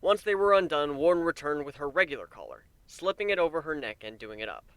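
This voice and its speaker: A male narrator reads a story in a dramatic scripted style. His voice is bright and smooth at a middle pitch. The recording is close and dry, without room echo. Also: very animated, fast. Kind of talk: dramatic narration of fan fiction.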